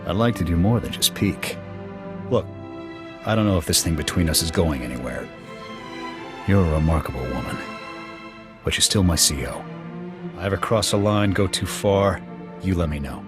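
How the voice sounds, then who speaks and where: A low, gruff male officer in a video game. He is blunt and loyal, speaking in clipped military phrases. Loud music runs underneath. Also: animated, moderate pace, pauses often. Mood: guarded.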